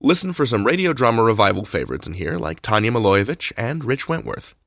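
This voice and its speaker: A man introduces things as a podcast host. His voice is creaky and lively in pitch, conversational, recorded close and very dry. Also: quick pace, no echo.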